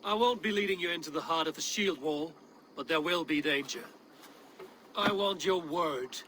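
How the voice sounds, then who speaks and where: A forceful, blunt Viking chieftain. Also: male voice, a little high, slight background noise. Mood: resolute. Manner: blunt, measured, commanding. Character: stern, blunt, steady Viking warrior chieftain, adult male. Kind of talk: scripted video game drama acting.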